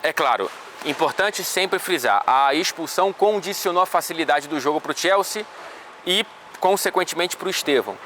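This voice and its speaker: Bright-voiced Brazilian man talking football. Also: on the high side, lively intonation, normal speed, slight background noise. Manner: chatty, loose, with slangy asides and restarts. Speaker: football reporter. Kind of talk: casual match analysis vlog.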